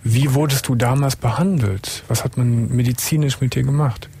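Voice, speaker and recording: A man hosting a late-night radio call-in show, asking a caller calm, probing questions. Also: mid-range voice, normal speed, a little hiss or hum. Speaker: radio talk show host.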